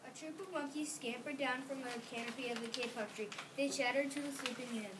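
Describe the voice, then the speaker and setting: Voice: high-pitched, fairly even intonation, a little fast. A child of about nine or ten reading scripted narration for a school readers theater. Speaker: student narrator. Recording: noisy room, distant microphone.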